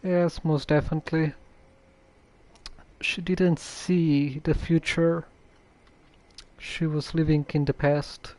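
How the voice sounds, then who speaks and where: A woman streamer giving casual, halting, deliberate commentary on a game. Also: low-pitched, fairly even intonation, warm tone.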